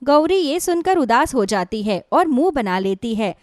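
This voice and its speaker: A woman narrates a scripted moral story as a storyteller. Her voice is high and very expressive, recorded close and dry. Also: normal speed, dry recording.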